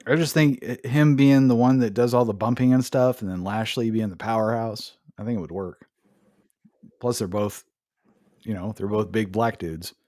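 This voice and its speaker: A man's voice: fairly low pitch, moderate pace, mellow, no room sound, clean studio sound. A man hosting a podcast, recapping wrestling in a casual, joking way with swearing. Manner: swearing, laughing recap with jokey put-on voices. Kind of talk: casual chat.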